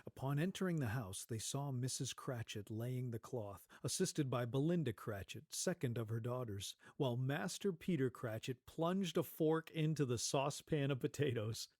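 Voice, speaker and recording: A man narrating a scripted story as a theatrical, dramatic and expressive storyteller. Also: medium pitch, normal speed, hardly any pauses, no room sound, studio quality.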